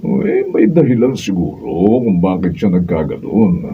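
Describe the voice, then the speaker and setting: Deep-voiced kindly Filipino grandfather. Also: sing-song, moderate pace, husky, exaggerated voice. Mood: concerned, questioning. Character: older, soft-hearted, indulgent father and grandfather. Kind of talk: Filipino radio drama acting. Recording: thin phone-like sound, distant, noisy.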